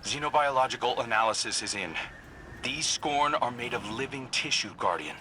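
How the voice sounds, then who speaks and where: An actor playing a resolute, principled commander giving earnest mission briefings in a video game. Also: male voice, medium pitch, animated, normal speed, bright, forceful tone, a little hiss or hum, rough recording. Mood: focused, measured.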